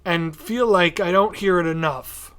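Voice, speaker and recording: A man's voice: sits high, measured pace, fairly bright tone. A man hosting a podcast interview in casual conversation, feeling out his phrasing as he goes.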